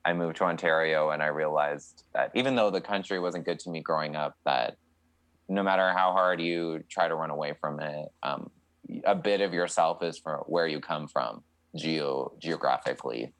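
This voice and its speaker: A man's voice: extremely low pitch, normal speed, fairly bright tone, no echo. A young man from Canada, a country singer, answering interview questions in a chatty, candid way with many fillers.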